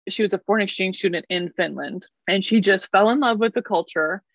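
A woman's voice: mid-range voice, fairly quick, dry recording, muffled recording. A woman in her thirties from Canada, chatting as an interview guest with a self-deprecating, laughing manner. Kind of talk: casual interview chat.

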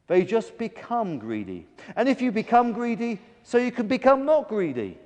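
A man voicing a reasonable, earnest gull in a children's story, gently scolding a friend. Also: high voice, huge pitch swings, moderate pace, long reverb tail, voice pushed up. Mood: earnest persuasion.

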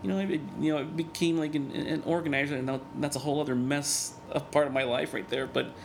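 A man in his thirties whose first language is Spanish, speaking as a guest in a candid interview, earnest and reflective, often halting and restarting. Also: on the high side, fairly even intonation, gravelly, a little hiss or hum. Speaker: guest, cartoonist and organizer.

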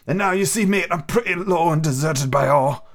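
Male reader as old drunken sea captain: gruff, pleading, higher and louder than narration. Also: moderate pace, natural voice. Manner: gruff, rough sailor's talk, drawn out.

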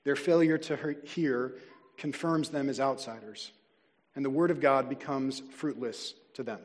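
A man preaching a sermon as a pastor, earnest and deliberate, slowing down to stress key words. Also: medium pitch. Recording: long reverb of a large hall.

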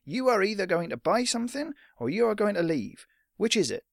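Man doing a high-pitched, condescending fat shopkeeper. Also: big pitch swings, brisk, gravelly, dry recording, studio quality, heavily acted. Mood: stern. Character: fat, suspicious village shopkeeper, brusque and condescending.

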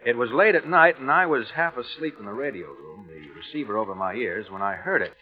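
Guy playing american navy vet telling a tale, slangy, medium pitch, muffled old radio. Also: expressive, close to an everyday voice. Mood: intent storytelling. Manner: colloquial, halting. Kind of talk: old-time radio drama acting. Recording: muffled vintage radio broadcast, music behind.

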